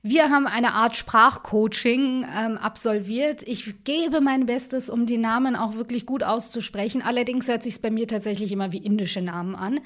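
A woman's voice: a little high, normal speed, few pauses. Chatty woman telling a true crime case. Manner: conversational, with fillers and asides. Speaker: podcast co-host. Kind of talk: true crime podcast storytelling.

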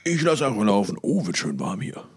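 A middle-aged man co-hosting a podcast, chatting casually about music with dry, teasing, halting delivery. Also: lowish voice, very animated, husky. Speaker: podcast co-host.

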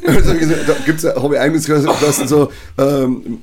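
A man with a Bavarian accent in casual podcast chat, mocking and quick to slip into parody voices. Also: a little high, fairly even intonation, background noise. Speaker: podcast co-host.

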